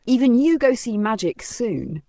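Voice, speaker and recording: A vain, theatrical young woman from a comic folktale, acted out by a female storyteller. She speaks high, boastful and pleading, in a dry recording. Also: expressive, a little slow, warm tone, no echo. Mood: boastful excitement. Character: vain, dramatic young woman craving online glory.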